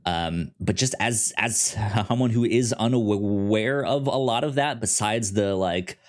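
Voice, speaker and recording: A man talks casually about film as a podcast co-host. His voice sits fairly low and moves slowly. He often stammers and restarts, repeating words while he searches for the next thought.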